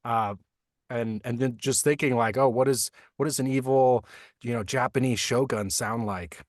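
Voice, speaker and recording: A man who works as a voice actor, explaining his craft in a relaxed, thoughtful way with many fillers, from a home recording booth. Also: medium pitch, normal speed, dry recording, clean studio sound. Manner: thoughtful, frequent fillers like "like" and "you know". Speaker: voice actor guest. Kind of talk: casual interview answers.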